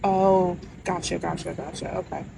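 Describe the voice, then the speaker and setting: A woman with a soft voice co-hosting a casual chat podcast, reacting with enthusiastic exclamations. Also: on the low side, flat delivery, normal speed, vocal fry. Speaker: podcast co-host. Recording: noisy background hiss.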